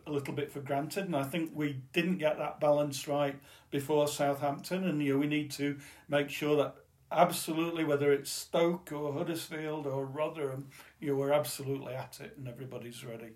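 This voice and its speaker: A man co-hosting a football podcast, talking casually and filling every pause with "you know". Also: a little high. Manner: peppers speech with "you know". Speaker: podcast co-host.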